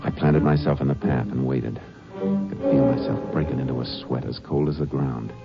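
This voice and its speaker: An old, muffled radio drama features a steady, dry lawman, with music behind him. His very deep male voice delivers lines laconically. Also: moderate pace, gravelly. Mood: calm, tense. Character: steady, laconic Texas Ranger lawman narrating his case. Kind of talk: 1950s radio drama acting.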